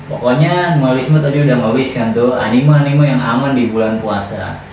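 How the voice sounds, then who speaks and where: A man's voice: mid-range voice, normal speed, a little mellow. A man with a colloquial Jakarta Indonesian accent co-hosting a podcast in casual, slangy chat. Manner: slangy, conversational. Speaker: podcast co-host. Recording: echoey room, distant mic, faint noise.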